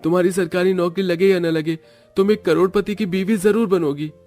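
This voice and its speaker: A man's voice: high voice, steady tone, a little fast, darkish tone, soft background music, lightly acted. A man voicing a cocky, boastful rich young student in a cartoon story. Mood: cocky, wooing.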